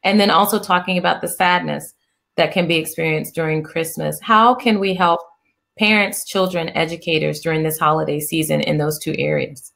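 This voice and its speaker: A middle-aged woman hosting an interview, talking in a soft, soothing way with many restarts. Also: deep voice, steady tone, normal speed, vocal fry. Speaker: interview host, psychiatrist. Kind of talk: casual interview chat.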